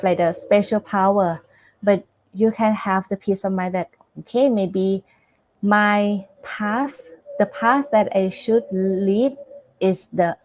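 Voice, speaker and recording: Slow, hesitant Thai-accented woman teacher. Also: mid-range voice, a little mellow, no room sound, poor audio. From Thailand. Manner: hesitant, searches for words with many fillers. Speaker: Buddhist nun teacher. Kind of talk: dharma teaching with live Q&A.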